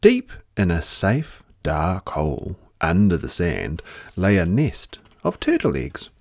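A man reading a children's story aloud with expressive delivery and drawn-out, dramatic emphasis. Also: low voice, creaky, no echo, clean studio sound.

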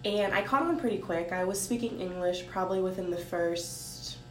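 A woman from Haiti telling her life story in a casual talk, thoughtful and pausing with many ums. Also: darkish tone. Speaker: guest sharing her story. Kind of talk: casual conversation. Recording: roomy with faint rumble.

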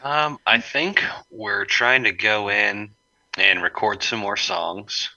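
A man in a band, speaking as a podcast guest in a hesitant, low-key way and starting often with ums. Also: takes it slowly, bright, forceful tone, dry recording, dull audio. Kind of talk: casual interview chat.

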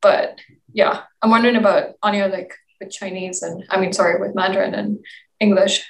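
A woman's voice: medium pitch, fairly even intonation, a little fast, creaky. A young woman leading a casual group discussion, talking in a chatty way and saying "like" a lot. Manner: chatty, heavy use of "like". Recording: echoey room, distant mic, faint noise.

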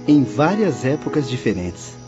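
A man's voice: medium pitch, big pitch swings, unhurried. A man playing a kindly spirit instructor who speaks to his pupils formally and paternally in a radio drama. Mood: warm, formal. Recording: dull lo-fi radio drama with music.

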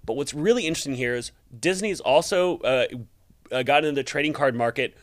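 A man's voice: a little high, lively intonation, fast. Man co-hosting a conversational news show. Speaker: co-host of a tabletop gaming news show.

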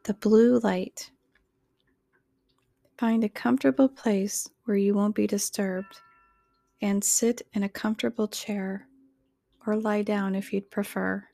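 A woman narrates a guided meditation in a soft, soothing voice. She speaks very slowly and evenly, with little movement in pitch. Her delivery is measured and instructional, recorded close and dry. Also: fairly even intonation, vocal fry, no echo.

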